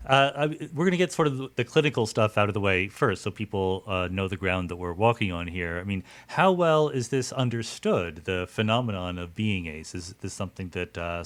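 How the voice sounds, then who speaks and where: A man hosting a conversational interview, thinking aloud haltingly and rephrasing things to check he has understood. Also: fairly low pitch. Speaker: podcast host, interviewer.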